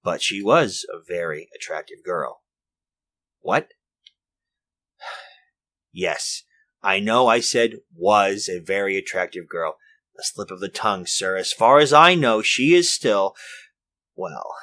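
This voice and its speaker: A fussy, earnest man from an old radio play performed by an actor. His pitch swings widely and he speaks slowly, in an old-fashioned, exclaiming way with halting turns. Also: expressive, bright, forceful tone, full character voice. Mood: uneasy, confiding, then flustered, defensive. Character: fussy, earnest workshop colleague named Ernest, recounting events. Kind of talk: retro radio drama acting.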